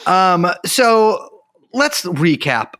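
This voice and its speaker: A man co-hosting a podcast in casual joking chat, swearing freely and rushing through bits in deadpan bursts. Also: high-pitched. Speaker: podcast co-host.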